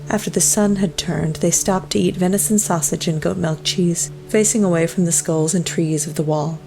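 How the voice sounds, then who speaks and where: Low, gravelly woman narrating over music. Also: fairly even intonation, normal speed, mellow. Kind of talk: scripted narration of a dark folk-horror story.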